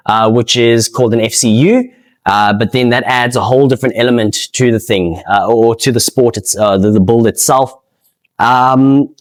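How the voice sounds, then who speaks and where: A man with a South African accent giving casual, unscripted interview answers in a hesitant, halting way, often repeating words. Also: mid-range voice. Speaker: interviewee, amateur speedsoft player and organiser.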